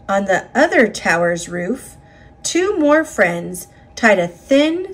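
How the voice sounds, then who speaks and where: A woman reading a children's picture book aloud in a deliberate, dramatic storytelling manner. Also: medium pitch, slightly rough. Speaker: storybook reader.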